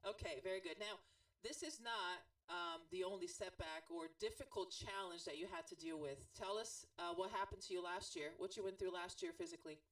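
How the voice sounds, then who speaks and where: A woman from Brazil with a Brazilian Portuguese accent, hosting a podcast interview in casual chat, animated and quick to laugh. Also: fairly low pitch, recorded from a distance, rough recording. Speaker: podcast host.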